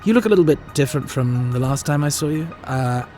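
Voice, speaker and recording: A man playing an eccentric, flippant alien time-traveller in an audio drama, explaining things in an animated manner. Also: medium pitch, quick pace, music in the background, natural voice. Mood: wry, playful. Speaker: lead actor.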